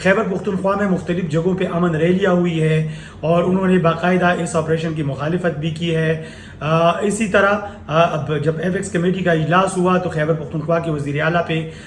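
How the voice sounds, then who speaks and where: A man with a Pakistani accent presenting current affairs commentary, partly reading and partly speaking off the cuff with halting filled pauses. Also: on the high side. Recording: echoey room with faint background noise.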